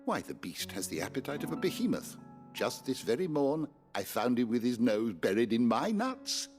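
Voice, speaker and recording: An actor with an English accent playing a learned, courtly scholar in a fantasy game, formal and eloquent. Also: male voice, mid-range voice, big pitch swings, moderate pace, a little mellow, faint music behind, close to an everyday voice. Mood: wry, reassuring. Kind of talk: video game drama acting.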